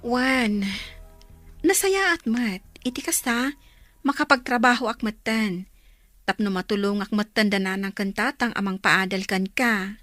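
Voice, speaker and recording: An actress playing a supportive, caring young older sister in a radio drama, with a Filipino accent and an encouraging manner. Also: female voice, medium pitch, a little slow, a bit bright, no room sound, soft background music, natural voice. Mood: reassuring. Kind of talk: Ilocano radio drama acting.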